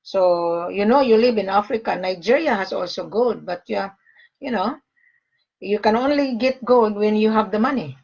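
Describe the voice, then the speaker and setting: A woman's voice: medium pitch, normal speed, vocal fry, a little mellow. An older woman from the Philippines talks business over a call. She speaks emphatically and repeats her main points. The audio comes through muffled and dull. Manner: emphatic, repeats key phrases. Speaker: gold sales facilitator. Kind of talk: casual call conversation.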